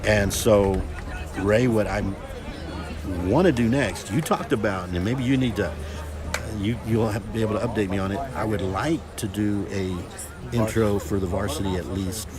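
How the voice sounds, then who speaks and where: A middle-aged man hosting a casual livestream of a football scrimmage, loud, talkative and joking. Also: fairly low pitch, normal speed. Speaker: livestream sports host. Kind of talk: casual sports commentary chat. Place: outdoors at a football field. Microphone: phone camera. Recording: crowd noise at outdoor game. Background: crowd at a game.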